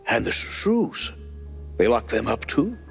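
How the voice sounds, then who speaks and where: An actor playing a detective's anxious, stammering friend in a noir cartoon video game, nervous and halting. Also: male voice, mid-range voice, very animated, gravelly, soft background music. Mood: worried. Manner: nervous, stuttering.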